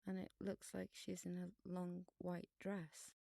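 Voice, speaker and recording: A woman's voice: lowish voice, monotone, measured pace, creaky, mellow, a little hiss or hum. A woman playing a sarcastic, bossy schoolgirl with a flippant, theatrical way of talking in an audio drama. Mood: hushed puzzlement. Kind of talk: scripted audio drama dialogue.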